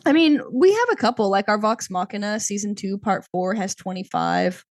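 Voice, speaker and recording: A woman's voice: mid-range voice, lively intonation, moderate pace, dry recording. A woman co-hosting a podcast, talking loosely and filling her sentences with "like". Her voice sounds slightly worn. The recording is dry and close, with no room sound. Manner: rambling, heavy use of "like". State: losing her voice. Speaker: podcast co-host. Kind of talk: casual chat.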